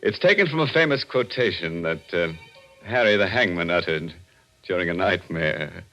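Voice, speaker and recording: Macabre, sardonic radio horror host, low voice, swooping intonation, old-time broadcast. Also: male voice, animated, a bit bright, lo-fi sound. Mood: macabre glee. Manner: sardonic, chuckling, drawn-out sinister delivery. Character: ghoulish, gleeful male horror host cracking macabre puns. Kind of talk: 1940s radio acting. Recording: vintage radio broadcast with music.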